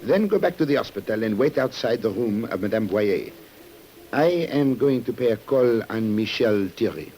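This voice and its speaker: An actor playing a shrewd, suspicious French police commissioner in an old radio drama, formal, courteous and probing, with an air of authority. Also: male voice, fairly low pitch, expressive, moderate pace, dark tone, lightly acted, poor audio. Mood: commanding. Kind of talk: 1950s radio drama acting. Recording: hissy old radio recording.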